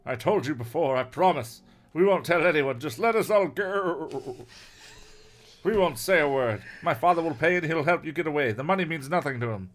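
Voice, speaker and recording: A man's voice: fairly high pitch, animated, normal speed, pitched above the performer's own voice, close to an everyday voice. A man voicing a captive teenage boy who bargains earnestly in a storybook thriller. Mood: pleading. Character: frightened but defiant captive teenage boy, pleading.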